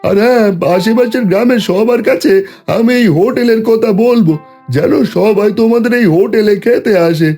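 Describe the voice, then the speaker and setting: A man's voice: very high voice, normal speed, warm tone. A man voicing a hungry, chatty village customer in a cartoon dub. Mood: delighted. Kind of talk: Bengali cartoon dubbing. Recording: music behind the voice.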